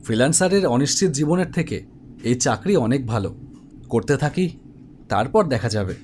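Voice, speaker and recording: A man's voice: mid-range voice, expressive, normal speed, a little mellow, slight background noise, lightly acted. A man playing an office web developer who narrates his own ghost story in a dramatic, suspenseful way. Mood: reflective narrating. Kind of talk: scripted first-person horror story narration. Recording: music behind the voice.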